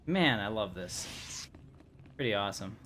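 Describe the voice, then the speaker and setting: A man's voice: lowish voice, expressive, a little slow, noisy background. Chatty male game streamer. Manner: chatty, joking asides to viewers. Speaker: livestream gamer. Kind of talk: casual gameplay commentary.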